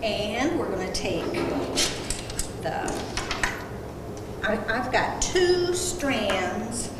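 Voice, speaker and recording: A woman walks through a holiday craft step by step, folksy and instructive, her talk unhurried. She sounds set back from the mic in a very echoey room with long reverb and loud background noise. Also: medium pitch, big pitch swings, recorded from a distance. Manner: plain-spoken, instructive, folksy. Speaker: craft demonstrator. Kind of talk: casual how-to demonstration. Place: senior center room.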